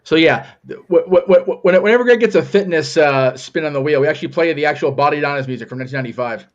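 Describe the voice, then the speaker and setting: A man's voice: a little high, quick pace, highs cut off. Rambling, stammering man on a podcast. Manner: rambling, stammering restarts, swears casually. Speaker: podcast co-host. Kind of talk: casual chat.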